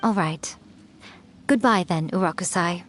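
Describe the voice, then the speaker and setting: An actress voicing a sly, self-assured, centuries-old fox yokai in a video game, with a poised, coy, knowing manner. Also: female voice, lowish voice, measured pace, slight background noise, close to an everyday voice. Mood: fond, teasing.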